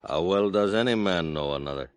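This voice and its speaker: An actor playing a cagey half-breed frontier interpreter in a 1950s radio drama, speaking in clipped broken phrases. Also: male voice, low voice, a little fast, clear, resonant tone, no room sound, close to an everyday voice.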